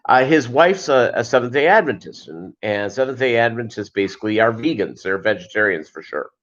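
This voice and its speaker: A man with a mid-range voice hosts a casual livestream. He chats haltingly to viewers and keeps wandering off into asides. The sound is dull and lo-fi, like basic stream audio. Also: medium pitch, expressive, a bit bright. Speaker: livestream host.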